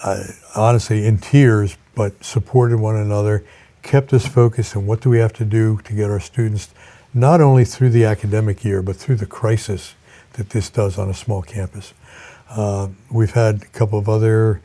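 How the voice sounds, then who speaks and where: An older man speaking as a college president in an interview, reflective and measured, with halting pauses. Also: fairly low pitch, steady tone, warm tone.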